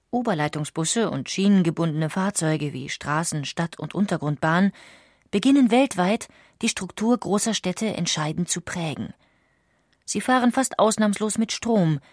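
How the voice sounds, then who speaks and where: A woman reads scripted documentary narration, articulating clearly as she explains. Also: on the low side, a little mellow, no echo, clean studio sound.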